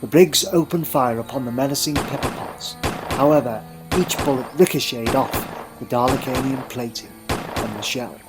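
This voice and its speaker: A man's voice: mid-range voice, moderate pace. Male story narrator over music. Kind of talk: scripted dramatic narration.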